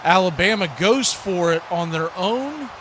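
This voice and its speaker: Male American sports commentator, slightly high register, live stream host delivery, noticeably noisy sound. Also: lively intonation, unhurried. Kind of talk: live American football play-by-play and casual chat.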